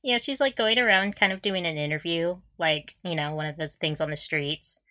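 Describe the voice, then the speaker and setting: A woman co-hosting a podcast in casual chat, with a giggly, expressive way of reacting. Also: lowish voice, normal speed, no room sound, studio quality. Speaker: podcast co-host.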